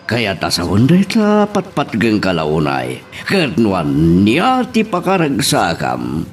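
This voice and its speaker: A man's voice: fairly low pitch, wildly swooping pitch, takes it slowly, faint music behind, exaggerated voice. A man with an Ilocano accent acting a doting, hesitant middle-aged father in a radio drama. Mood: fond.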